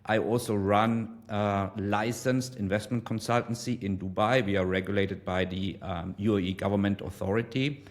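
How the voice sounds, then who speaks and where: Guy, 40, lowish voice, steady explaining with lots of ums. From Germany. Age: 40s. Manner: measured, explanatory, many ums. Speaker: guest trader. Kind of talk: casual interview.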